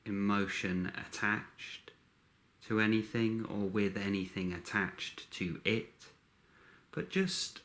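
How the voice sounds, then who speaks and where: A man with a fairly low voice guides a meditation. He speaks very slowly in short, measured phrases. Long pauses separate his calm instructions.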